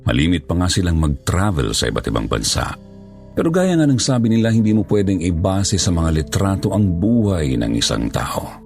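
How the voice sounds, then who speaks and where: A man's voice: sits low, big pitch swings, moderate pace, darkish tone. A man reading a scripted first-person horror story. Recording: music bed under voice. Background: background music.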